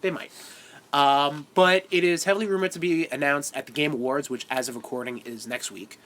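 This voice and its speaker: A man co-hosting a laid-back podcast, his voice stuffed up and nasal from a cold. He talks in a joking, quick way and pokes fun at himself. His voice sits a little high. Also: steady tone, fairly bright tone. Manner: joking, quick, self-mocking. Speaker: podcast co-host. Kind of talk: casual podcast banter.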